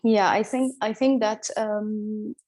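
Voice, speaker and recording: A woman's voice: mid-range voice, fairly even intonation, takes it slowly, a little mellow. A woman, speaking candidly and hesitantly as an interview guest. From Egypt. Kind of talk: casual interview.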